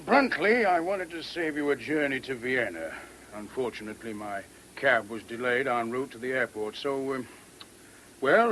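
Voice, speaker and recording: A man acts a retired army major in a mid-century radio drama. He is blunt and knowing, sure of himself and cryptic, in a medium-pitched voice. The old recording has a slight hiss. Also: fairly bright tone, natural voice, rough recording. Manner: blunt, knowing, hesitant little pauses.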